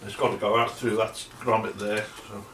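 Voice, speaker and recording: A man's voice: on the low side, level tone, fairly quick, a bit bright, far from the mic, a little hiss or hum. Older man muttering in an echoey garage. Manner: mutters while working, plain chatty asides. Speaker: home car restorer. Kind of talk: casual workshop commentary. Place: cluttered home garage. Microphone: camera mic from a distance.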